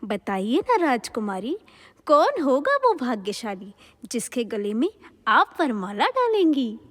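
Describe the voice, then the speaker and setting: A woman's voice: fairly high pitch, sing-song, unhurried. A woman playing a teasing young companion of a princess in a mythological audio drama, girlish and theatrical. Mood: playful, dreamy. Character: playful, teasing young handmaiden friend of a princess. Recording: long hall reverb.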